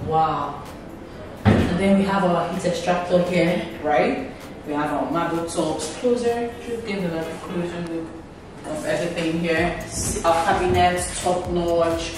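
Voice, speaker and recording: A woman's voice: on the low side, steady tone, a little slow, far from the mic, background noise. Gushing Nigerian woman in echoey rooms. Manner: gushing, exclamatory, repeats praise. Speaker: vlogger giving a house tour. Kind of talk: enthusiastic walkthrough. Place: empty furnished house, indoors, echoey rooms. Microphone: camera mic, filmed from a few steps away. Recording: roomy echo, off-mic, loud music bed.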